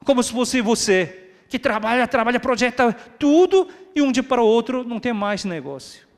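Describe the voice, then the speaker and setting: A man preaching a sermon as pastor, dropping to hushed asides and then rising to loud, high, emphatic repeated phrases. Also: animated, moderate pace. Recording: echoey hall with long reverb.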